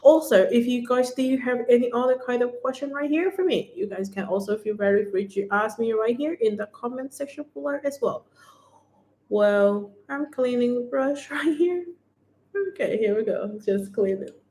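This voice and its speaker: A woman with a Thai accent hosting a beauty livestream, chatting casually as she demonstrates products and pitches discounts. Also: normal speed, a little mellow. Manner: chatty, keeps repeating "right here", upbeat sales talk. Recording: echoey room.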